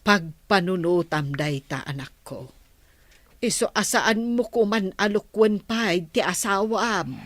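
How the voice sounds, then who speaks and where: A woman's voice: medium pitch, expressive, slow pace, no echo. An actress playing a concerned, admonishing older mother in a radio drama, with a sighing manner.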